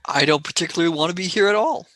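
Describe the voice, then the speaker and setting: A woman's voice: lowish voice, rapid-fire, a bit bright, no room sound, studio quality, natural voice. A performer playing a wry, sardonic young male space traveller who turns sarcastic and wheedling in a radio play.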